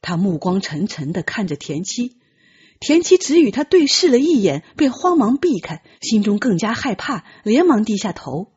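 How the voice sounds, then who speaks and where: A woman's voice: mid-range voice, big pitch swings, moderate pace, darkish tone, husky, highs cut off. A woman reading a novel aloud as dramatic scripted audiobook narration.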